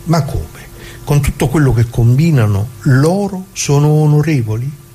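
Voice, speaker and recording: Casting: a man as a wry, indignant grandpa storyteller, mid-pitched and mellow, colloquial radio theatre, humming noisy recording. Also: animated, normal speed, close to an everyday voice. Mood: indignant, confused. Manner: folksy, rambling, full of asides and rhetorical questions. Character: talkative working-class grandfather reminiscing, indignant, wry, old leftist.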